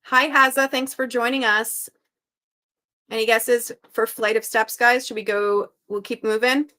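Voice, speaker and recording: A woman from Canada in her thirties or forties hosts a live puzzle stream, chatting casually and reading viewers' guesses aloud. Her voice is bright and clear. She sounds upbeat and talks her thinking through out loud. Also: fairly high pitch, steady tone, a little slow. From Canada (Montreal). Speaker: host of a live crossword stream.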